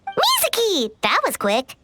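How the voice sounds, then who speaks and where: Tiny plucky game fairy, very high squeaky voice, wildly animated, bright, music behind. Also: female voice, measured pace, background noise, full character voice. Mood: curious, plucky. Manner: refers to self in third person, expressive. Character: small childlike female fairy companion, excitable, plucky. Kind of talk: video game voice acting.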